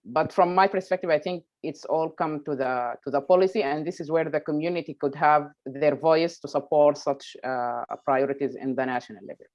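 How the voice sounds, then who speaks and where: Low-voiced woman on a dull call. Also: brisk, hardly any pauses, a little mellow. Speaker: panelist. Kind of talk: unscripted panel remarks. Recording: dull, lo-fi video call audio.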